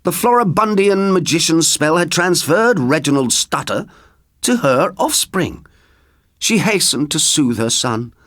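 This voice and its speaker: A man reading a children's story in a theatrical, expressive way. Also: fairly high pitch, measured pace, no room sound, clean studio sound. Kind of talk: scripted children's story narration.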